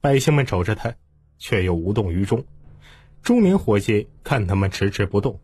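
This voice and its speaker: A man's voice: fairly low pitch, big pitch swings, normal speed, warm tone, breathy edge, dry recording. A man narrating a story in a dramatized, scripted audiobook style. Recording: music bed behind the voice.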